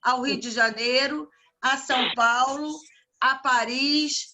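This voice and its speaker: A woman's voice: high-pitched, steady tone, slow pace. A woman speaking casually in an online spiritual meeting, an organizer who talks with frequent restarts. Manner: warm religious farewells, many restarts. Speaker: event organizer and evangelizer. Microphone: video call.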